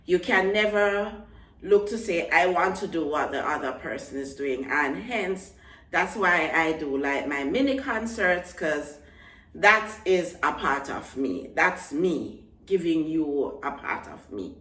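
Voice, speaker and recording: A woman's voice: sits low, animated, slow, a bit bright, roomy sound, recorded from a distance. A young woman from Jamaica with a Jamaican accent, chatting casually to her vlog audience and repeating words for emphasis. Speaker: vlogger. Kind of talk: casual talk to camera.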